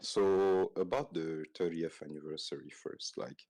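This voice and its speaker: A man's voice: low voice, a little slow, darkish tone, no room sound. A man whose first language is French, calling in to a livestream and answering in short plain sentences with some hesitation. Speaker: caller. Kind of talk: casual chat.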